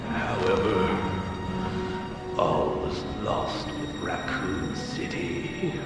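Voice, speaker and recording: An actor playing a dying, bitter old mastermind with delusions of godhood, halting and grandiose, in a video game. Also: male voice, sits low, big pitch swings, full character voice. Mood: bitter, fading. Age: older. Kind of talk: dramatic video game cutscene acting. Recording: echoing hall, loud dramatic music behind.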